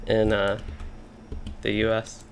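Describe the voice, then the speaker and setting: Low gravelly man streaming a game. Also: completely flat delivery, slow pace, soft background music. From Kentucky, US. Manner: thinking aloud, rambling with fillers and restarts. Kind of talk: casual gameplay commentary.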